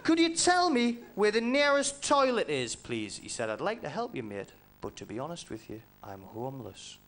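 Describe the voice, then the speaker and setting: A man from northeast England with a Geordie accent, doing a deadpan, punchy stand-up routine with dark jokes. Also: on the high side, normal speed. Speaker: stand-up comedian. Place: comedy club stage. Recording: live stage, crowd and noise.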